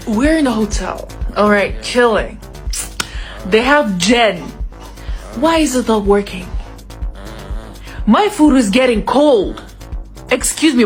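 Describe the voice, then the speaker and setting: A woman records a complaining vlog, her words slow and stretched out in exasperation. Her voice is a little high. Soft music plays behind her. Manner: exasperated, whiny and drawn out.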